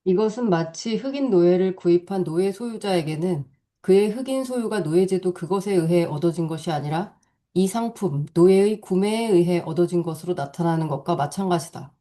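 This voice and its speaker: Brief: female seminar participant, warm mellow tone, steady read-aloud. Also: on the low side, normal speed. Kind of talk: reading a text aloud.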